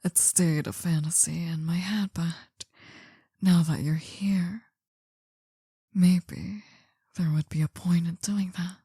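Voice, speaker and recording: A woman's voice: on the low side, fairly even intonation, slow, long pauses, dry recording, clean studio sound, heavily acted. A woman playing a possessive, world-weary older mafia boss in an intimate whispered ASMR roleplay, tender and confiding. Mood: adoring, wistful. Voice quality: breathy, soft whisper.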